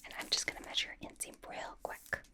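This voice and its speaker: A woman whispers softly and breathily in an ASMR personal-attention roleplay. She plays a bubbly young idol stylist who dotes on the listener, coaxing and reassuring with playful, chatty asides. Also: fast. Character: bubbly, doting young female pop idol playing stylist.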